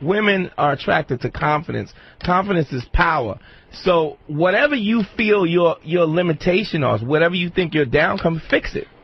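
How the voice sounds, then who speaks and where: A husky man with a high, very animated voice chips in as a radio co-host. His banter is casual and blunt, recorded close and dry with a slightly dull sound. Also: moderate pace, no echo. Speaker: co-host on a comedy radio show.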